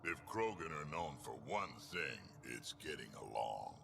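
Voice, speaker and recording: Rumbling, gravelly alien warrior. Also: male voice, big pitch swings, unhurried, heavily acted. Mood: dry, blunt. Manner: dry, blunt. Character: gruff old male krogan alien warrior. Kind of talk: video game character acting. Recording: faint noise behind.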